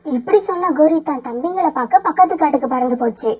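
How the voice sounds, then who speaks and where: High-voiced woman narrating a cartoon. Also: quick pace, a little mellow. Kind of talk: scripted storybook narration.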